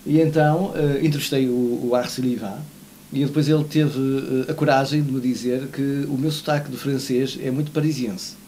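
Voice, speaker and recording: Brisk Portuguese morning-TV co-host, forties male, self-mocking anecdote teller, quick delivery. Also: medium pitch, a little hiss or hum. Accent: European Portuguese. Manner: animated storyteller, self-mocking, gestures while talking. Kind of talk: casual chat. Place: small TV studio, seated at desk.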